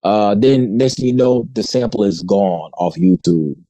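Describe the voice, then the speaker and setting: Mellow-voiced man, slangy podcast host. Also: on the low side, a little slow, no room sound. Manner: slangy, animated storytelling. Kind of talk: casual podcast chat.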